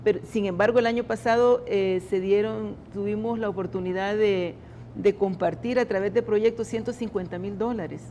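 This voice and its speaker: A woman, a government culture official, answering interview questions in a halting way with many restarts. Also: mid-range voice, fairly even intonation, mellow, slight background noise. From El Salvador.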